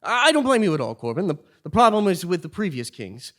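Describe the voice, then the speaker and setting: A man's voice: high-pitched, big pitch swings, fast, fairly bright tone. An actor playing a well-meaning, exasperated new king in a comic stage play, earnest and theatrical. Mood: earnest, incredulous. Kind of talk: live absurdist comedy stage acting.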